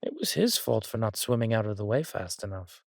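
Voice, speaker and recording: A man playing an arrogant, petulant villain king in an audio drama, with a drawling, theatrical, sardonic delivery and a breathy, husky voice. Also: on the low side, animated, brisk, no echo, studio quality. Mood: defensive, sardonic. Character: arrogant, petulant villain king, cruel yet doting on his consort.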